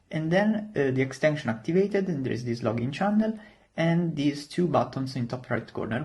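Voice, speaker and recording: A young man presenting an informal software demonstration, walking the listener through it step by step. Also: medium pitch. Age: young adult. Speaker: student demo presenter.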